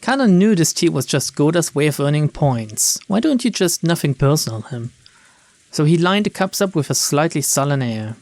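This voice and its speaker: A man's voice: on the high side, lively intonation, normal speed, a little mellow, no room sound. Dry-sounding man reading and commenting on a game. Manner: wry, informal. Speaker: video game streamer, narrator. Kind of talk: reading game narration aloud with casual commentary.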